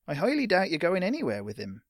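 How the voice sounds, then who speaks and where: A fat village shopkeeper from a fantasy audiobook, put on by a male narrator, pitched up high. He is suspicious, brusque and condescending, with a haughty way of addressing youngsters. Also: huge pitch swings, quick pace, no echo, clean studio sound, heavily acted.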